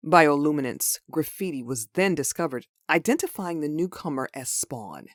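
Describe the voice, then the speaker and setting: Woman doing serious news anchor for a comic dub, formal n measured, dry close mic. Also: low-pitched, very animated, dry recording. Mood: serious, neutral. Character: formal, composed television news reporter.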